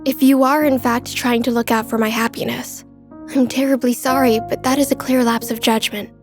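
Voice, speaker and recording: A woman's voice: a little high, little pitch movement, soft background music, natural voice. An actress playing a polite, reserved young woman who speaks formally and with care in a manga drama dub. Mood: polite concern. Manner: formal, measured.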